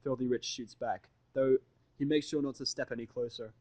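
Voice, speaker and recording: Mid-pitched man reading fiction, dry sound. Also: fairly even intonation, normal speed, a little mellow, dry recording. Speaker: fan fiction narrator. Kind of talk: first-person dramatic story reading.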